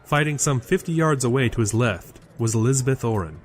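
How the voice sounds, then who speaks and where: A man's voice: medium pitch, animated, a little fast. A man reading scripted narration for an audio drama. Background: loud music.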